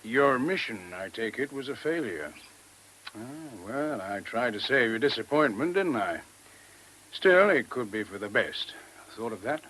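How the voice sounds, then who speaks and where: A man's voice: mid-range voice, animated, measured pace, fairly bright tone. A man playing a worldly, cynical army major in a radio play, with a casual, folksy manner. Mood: casual, probing. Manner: casual, wry, folksy phrasing. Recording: noisy old radio drama recording.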